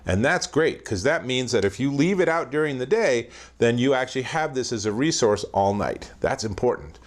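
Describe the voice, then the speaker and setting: A man's voice: medium pitch, lively intonation, normal speed. A man explaining a product's features and their usefulness in a review.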